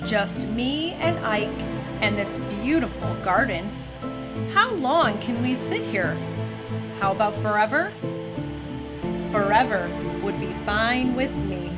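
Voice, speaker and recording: A woman reading a children's story aloud in an expressive, gentle storytelling manner. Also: on the high side, takes it slowly. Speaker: mother reading aloud on a family story-time show. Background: background music.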